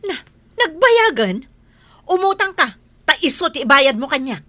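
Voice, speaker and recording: An actress as a high-pitched, stingy, nagging village wife. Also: female voice, very animated, moderate pace, bright, forceful tone, heavily acted. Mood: scolding. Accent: Ilocano. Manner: scolding, exclaiming. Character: stingy, sharp-tongued village wife nagging over debts. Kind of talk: Ilocano radio comedy drama acting.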